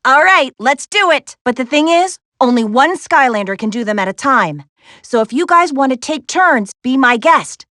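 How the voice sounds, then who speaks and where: A young, capable American adventurer heroine from a cartoon video game. Her voice sits fairly high and swings widely in pitch, recorded close and dry. She guides with wry, sassy confidence and plenty of encouragement. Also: animated, normal speed, pushed and bright, dry recording. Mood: encouraging. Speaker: video game character voice actress. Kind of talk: animated video game voice lines.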